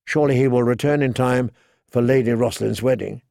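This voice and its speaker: A man reads as a sober noblewoman in a fantasy audiobook. The speech is measured and formal, with some gravelly creak and no room sound. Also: mid-range voice, fairly even intonation, dark tone, dry recording, clean studio sound, lightly acted. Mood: courteous, guarded. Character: composed, sober noblewoman, older sister. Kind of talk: audiobook character dialogue.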